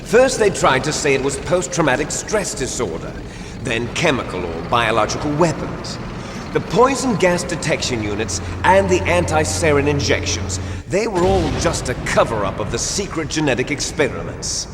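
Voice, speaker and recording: A man's voice: fairly high pitch, big pitch swings, normal speed, noisy background. An actor playing a fanatical male rebel leader in a video game, declaiming dramatically with taunting menace. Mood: grim, revelatory. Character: theatrical male villain, fanatical rebel leader obsessed with genes. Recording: long hall reverb. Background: game music.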